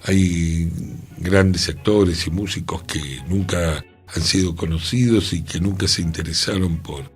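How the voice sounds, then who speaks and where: Low husky man musing. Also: fairly even intonation, measured pace, soft background music. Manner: musing, thinking aloud with restarts. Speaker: radio host. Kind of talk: reflective radio discussion. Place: radio studio.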